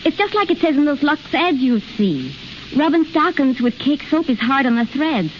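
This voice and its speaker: An actress playing a helpful, homely, chatty hotel maid in a 1930s radio commercial. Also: female voice, high voice, big pitch swings, moderate pace. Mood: helpful, earnest. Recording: muffled 1930s radio, faint static.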